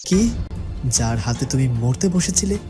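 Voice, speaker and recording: A man's voice: medium pitch, animated, unhurried, music in the background, close to an everyday voice. A man playing a concerned, supportive young prince in a cartoon. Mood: questioning, surprised. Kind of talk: cartoon voice acting.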